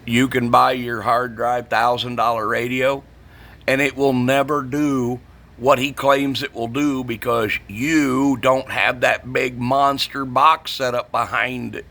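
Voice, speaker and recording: A middle-aged American man speaks in a bright, forceful voice at an ordinary male pitch. He rants in a casual, opinionated way, blunt and profane, hammering his points by saying them again. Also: little pitch movement, slow pace. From northern United States. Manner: blunt, profane, emphatic, repeats himself. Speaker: CB radio shop vlogger.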